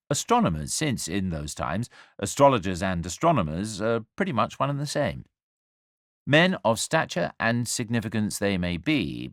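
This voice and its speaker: A man narrating a scripted story as a dramatic storyteller, with wry, measured and deliberate phrasing. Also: deep voice, dry recording, clean studio sound. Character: angel narrating the nativity story, wry and urbane.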